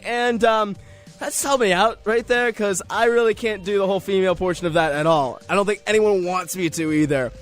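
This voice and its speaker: A young man hosting a radio show solo, chatting casually in a rambling, jokey, self-deprecating way over music. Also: high-pitched. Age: young adult. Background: music under the voice.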